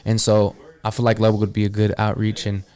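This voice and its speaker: A young man in his twenties with a low voice and a smooth, clear tone. He talks loosely in a casual chat, circling his point with fillers and trailing asides. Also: completely flat delivery, fast, a little mellow. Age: 20s. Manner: rambling, full of "like" and "you know". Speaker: barber.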